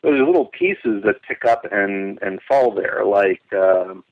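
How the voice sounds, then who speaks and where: A man giving thoughtful, somewhat halting commentary in a conversational style, as a host. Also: fairly low pitch, over music. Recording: dull, dry, limited-band audio.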